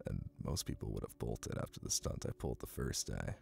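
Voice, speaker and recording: A man playing a smug, soothing, dominant hypnotist boyfriend in an intimate ASMR roleplay, coaxing and teasing slowly in a gravelly, breathy voice. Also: extremely low pitch, darkish tone, full character voice. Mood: fond, playful.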